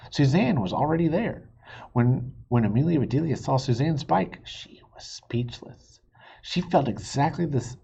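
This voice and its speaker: A man reading a children's storybook aloud in a playful, expressive way, stretching words for emphasis. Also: medium pitch, husky, muffled recording. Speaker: reader of a children's book. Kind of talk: animated storybook read-aloud with asides.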